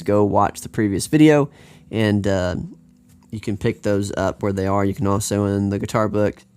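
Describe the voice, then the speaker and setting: An American man runs a live guitar lesson in a chatty, upbeat manner that drifts off track and circles back. His voice sits on the low side. Faint guitar playing can be heard behind him. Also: darkish tone. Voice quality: upbeat, chatty, rambling with restarts. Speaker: guitar teacher.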